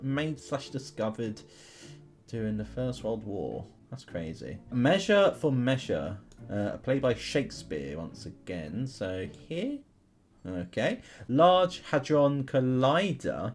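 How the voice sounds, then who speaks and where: A man hosts a casual gaming video with a lively, swinging pitch and an unhurried pace. He reasons out loud, often asking himself questions, and makes chatty asides to the people watching. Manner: thinks aloud in questions, chatty asides to viewers. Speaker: YouTube gamer host. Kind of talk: casual commentary while playing an online history quiz game.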